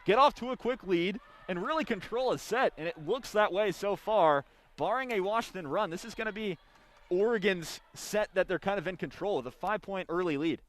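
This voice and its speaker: Radio volleyball announcer, young male, moderately high voice, live play-by-play delivery. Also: brisk, a bit bright.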